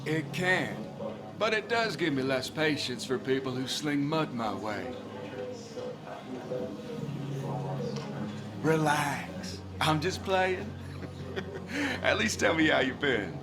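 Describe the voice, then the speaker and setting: An actor playing a cynical small-town drunk in a video game, blunt and teasing with a sardonic manner. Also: male voice, on the high side, big pitch swings, takes it slowly, music in the background, exaggerated voice.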